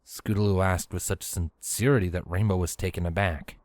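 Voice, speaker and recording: Guy narrating a story, lowish voice, really dry close recording. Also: fairly even intonation, a little fast, darkish tone, no room sound.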